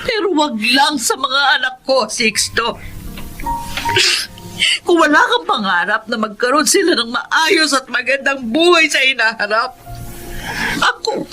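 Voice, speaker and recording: A woman's voice: high-pitched, lively intonation, unhurried, long pauses, bright, forceful tone, faint music behind, exaggerated voice, rough recording. An actress playing a long-suffering, wronged wife and mother in a Filipino radio drama, tearful and pleading, with a Filipino accent. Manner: tearful, emotional delivery.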